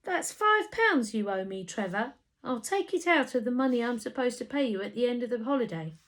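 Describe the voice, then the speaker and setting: A woman's voice: a little high, big pitch swings, a little fast, a bit bright. A woman voicing a stern, displeased mother in a storybook, firm and scolding. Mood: cross.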